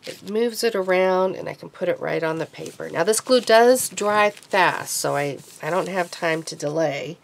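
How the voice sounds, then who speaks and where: A woman hosting a casual craft tutorial at home, talking in a chatty, rambling way while she works, her voice deepened from being sick this week. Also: moderate pace, creaky, few pauses. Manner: chatty, rambling, self-correcting. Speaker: craft vlogger.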